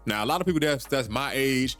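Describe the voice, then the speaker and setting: A man's voice: medium pitch, lively intonation, fast talker, a bit bright, faint music behind. A man hosts a solo podcast, talking in an urgent, preachy way that repeats points and swears casually. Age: 30s. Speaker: podcast host.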